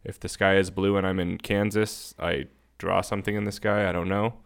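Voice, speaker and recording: A man with a very low, clean and resonant voice in a casual podcast conversation. He explains things informally, working through his thoughts as he talks. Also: level tone, fairly quick, creaky. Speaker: podcast co-host.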